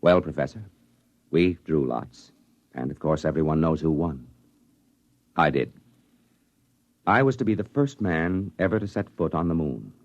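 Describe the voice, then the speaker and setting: An actor playing a thoughtful male astronaut who narrates his moon landing in a 1950s radio drama, earnest and halting. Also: a bass voice, animated, dry recording, dull audio, heavily acted. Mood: wistful. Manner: earnest, reflective, halting with small stammers. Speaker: narrator and crewman.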